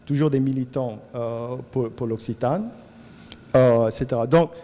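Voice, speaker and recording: A man with a Cajun French accent from Louisiana gives an animated talk at a colloquium, often repeating words as he searches for the next one. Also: medium pitch, unhurried, long reverb tail. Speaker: colloquium speaker. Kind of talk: lively talk to an audience. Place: lecture hall.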